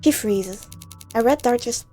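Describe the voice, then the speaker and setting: A woman's voice: a little high, a little slow, warm tone, faint music behind. A woman reading visual novel narration aloud in a dramatic storytelling way, now and then stumbling over words.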